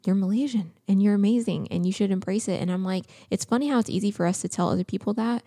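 A young woman co-hosting a podcast, chatting casually and telling stories in an earnest, animated way. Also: mid-range voice, fast talker, no echo, clean studio sound. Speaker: podcast co-host.

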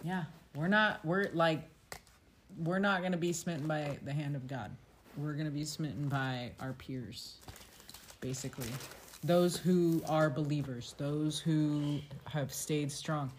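A woman's voice: deep voice, a little slow, slight background noise. A woman casually discussing scripture, conversational and checking in with questions as she explains. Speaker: family scripture discussion co-host.

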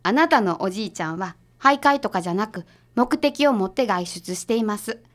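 A woman playing a brisk, sharp detective's assistant in a radio drama, speaking casually and decisively with a Kansai accent. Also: mid-range voice, lively intonation, close to an everyday voice. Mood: calm, explaining.

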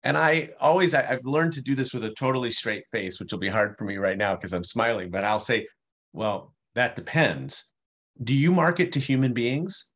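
A man's voice: medium pitch, normal speed, a bit bright. Man explaining in an easy, fluent way. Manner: fluent, explanatory, with playful asides. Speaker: guest, customer experience speaker. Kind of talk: conversational interview answers.

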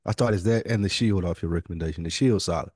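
A man's voice: low-pitched, quick pace, a little mellow. A man co-hosting a podcast, chatting casually in slangy, informal language with some swearing. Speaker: podcast co-host.

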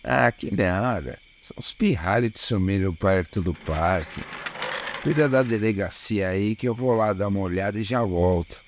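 Audio drama cop played by a man: Brazilian, weary and dogged, lowish voice, noisy ambience. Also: lively intonation, moderate pace. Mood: weary, grumbling. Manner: colloquial, grumbling. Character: weary, grumbling, dogged small-town policeman on night shift. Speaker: voice actor.

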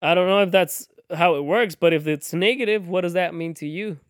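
A man in his thirties with a notably high-pitched voice. He trades jokes and teasing with his co-hosts in loose podcast banter. The sound is dry and close, with no room around him. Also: a little fast, no room sound. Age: 30s. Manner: joking, teasing, playful. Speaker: podcast co-host. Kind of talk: casual chat.

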